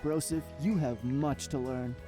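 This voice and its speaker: A man voicing an excitable, jokey teenage boy pilot, dramatic and slangy, in visual novel dialogue. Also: medium pitch, measured pace, soft background music, pitched below the performer's own voice, natural voice. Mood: playful.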